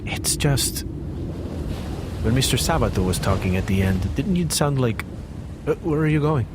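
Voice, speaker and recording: An actor playing a calm, reassuring first mate of a doomed ship, speaking over loud waves and wind. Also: male voice, medium pitch, moderate pace, lightly acted.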